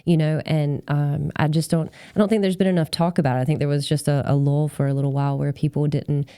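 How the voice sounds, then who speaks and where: Low, mellow-voiced woman in her forties. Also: fairly even intonation, quick pace, clear, resonant tone, no room sound, clean studio sound. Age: 40s. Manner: chatty, rambling, informal. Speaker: podcast host. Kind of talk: casual conversational interview.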